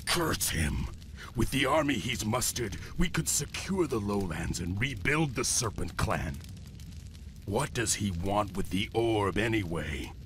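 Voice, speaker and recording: An actor playing a bloodthirsty, scheming clan warlord in a video game, with a husky voice. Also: male voice, fairly low pitch, expressive, measured pace, fairly bright tone, a little hiss or hum. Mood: frustrated, scornful. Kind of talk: dramatic game cutscene acting.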